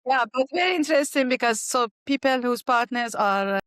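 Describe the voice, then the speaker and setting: A woman's voice: on the high side, animated, fairly quick, a bit bright, no room sound, clean studio sound. A woman from Iran with an Iranian accent, a native Farsi speaker, answering interview questions conversationally in hesitant, non-native English. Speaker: guest, language teacher and archaeologist.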